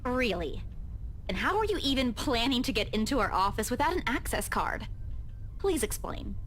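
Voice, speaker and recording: An actress voicing a spirited, stubborn young office worker in a visual novel, expressive and sardonic. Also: female voice, fairly high pitch, normal speed, faint music behind.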